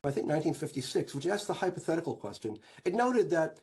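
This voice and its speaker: Man, history professor, husky voice, explanatory interview talk. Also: fairly high pitch, big pitch swings, a little fast, a little mellow.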